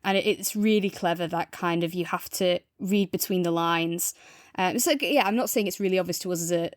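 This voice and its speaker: A woman's voice: fairly low pitch, a little fast, no echo, studio quality. A woman co-hosting a podcast, chatting casually and enthusiastically as she gives a review. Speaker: podcast co-host reviewing audio drama.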